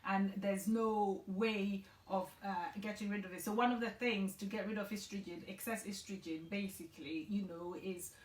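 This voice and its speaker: A woman's voice: mid-range voice, steady tone, normal speed, few pauses. A woman in her forties from Ghana, earnestly explaining health issues as a guest in a conversational interview. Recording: echoey room.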